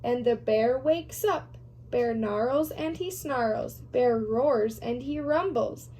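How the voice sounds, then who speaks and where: A woman's voice: a little high, takes it slowly, dark tone, breathy edge. A woman reading a picture storybook aloud for young children.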